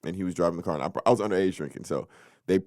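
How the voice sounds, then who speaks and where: A young man co-hosting a podcast, telling stories in a loose, animated way and acting out what people said. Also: deep voice, very fast, no room sound, clean studio sound. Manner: animated storyteller, acts out quotes. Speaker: podcast co-host. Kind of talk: casual storytelling chat.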